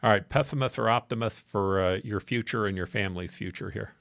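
An American man in his sixties, interviewing as a podcast host in a measured, conversational way. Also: lowish voice, vocal fry, fairly bright tone, no echo. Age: 60s. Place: small wood-panelled podcast studio. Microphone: close boom-arm studio microphone.

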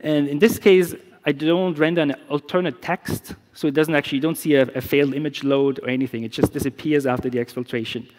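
A middle-aged man whose first language is German, giving a conference talk with a live demo in a relaxed, explanatory way with many restarts. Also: a little high, brisk, hardly any pauses. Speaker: security researcher. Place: large conference stage. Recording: long reverb of a big hall.

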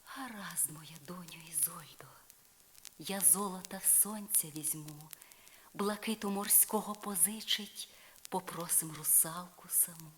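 Woman doing calm fairy godmother, quiet and really slow poetry, crackly old record. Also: lowish voice. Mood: soothing, solemn. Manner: hushed, measured, soothing. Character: calm, motherly fairy godmother with magic powers. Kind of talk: dramatic poetry recitation. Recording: crackly, clicking vinyl record.

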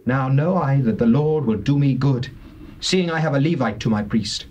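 An actor playing a hospitable, pious householder in a dramatized Bible, using archaic diction. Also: male voice, medium pitch, normal speed, a little mellow, highs cut off, lightly acted. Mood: satisfied. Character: hospitable, pious male householder of ancient Israel. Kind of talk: dramatized King James Bible acting. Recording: hissy old cassette dramatization.

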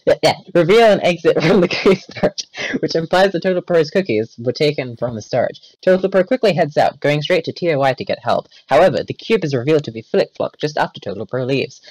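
A man's voice: on the high side, lively intonation, fast, hardly any pauses, a little mellow, no room sound, low-quality recording. A man reading a wiki page aloud in a group voice chat, stumbling and correcting himself as he goes. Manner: reads aloud with stumbles, self-corrections and laughs.

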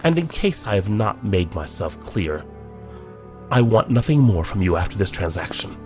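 An actor playing a proud, middle-aged scientist who talks in an indignant, clipped way. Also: male voice, on the low side, big pitch swings, normal speed, a little mellow, faint music behind.

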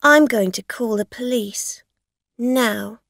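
A woman performs a scheming, aggrieved woman in an audio story. She sounds insistent and accusing, her pitch raised above plain narration. Words come very slowly and deliberately, close and dry. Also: gravelly, a bit bright, dry recording, full character voice. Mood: angry, accusing. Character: scheming, angry woman accuser demanding, playing the victim. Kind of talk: acted dialogue in an audio story.

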